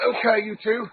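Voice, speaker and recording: A man's voice: very high-pitched, exaggerated voice. An actor playing a surly, reluctant tough-guy pilot in an old-time radio adventure, slangy and grudging. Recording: tinny band-limited radio drama, background noise.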